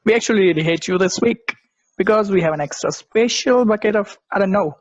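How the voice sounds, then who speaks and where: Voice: medium pitch, brisk, lo-fi sound, natural voice. A performer playing an enthusiastic, snarky sports podcast co-host in a casual table read. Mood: mock hostile.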